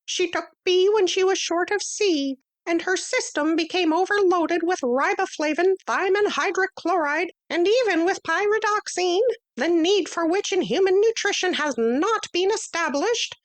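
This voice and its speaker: Woman voicing a tiny pompous mouse-man teacher, very high and bright-toned, crisp and bossy, storybook read. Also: normal speed, few pauses. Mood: commanding. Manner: crisp, bossy, lecturing, curt. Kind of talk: storybook reading.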